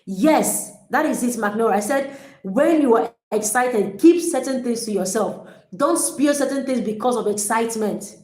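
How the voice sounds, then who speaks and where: A woman coaches her listeners with energy and emphasis, often repeating her points. Her voice sits a little high. The sound carries a noticeable echo from the room. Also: moderate pace, a little mellow. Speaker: relationship coach. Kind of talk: motivational teaching talk. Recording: echoey room.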